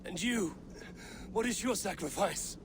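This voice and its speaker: A man's voice: high voice, lively intonation, a little slow. An actor playing a stern, proud god-king in a video game, speaking in a solemn, commanding way. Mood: grim, commanding. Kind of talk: dramatic video game acting. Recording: noisy ambience behind the voice.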